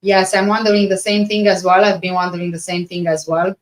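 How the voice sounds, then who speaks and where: Low-pitched Romanian woman chatting on a livestream. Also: little pitch movement, a little fast. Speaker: livestream host. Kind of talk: casual livestream chat, answering viewer comments.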